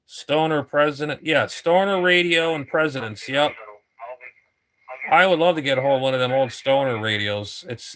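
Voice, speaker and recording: A man's voice: medium pitch, pushed and bright, low-quality recording. A man in his fifties, a ham radio operator chatting casually on air, rambling and repeating himself, with casual swearing. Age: 50s.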